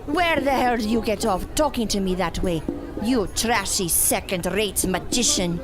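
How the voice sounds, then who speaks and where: An actress playing a proud, sharp-tongued mystic in an audio drama, with formal, old-fashioned diction. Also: female voice, medium pitch, moderate pace, music in the background, lightly acted. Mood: scornful.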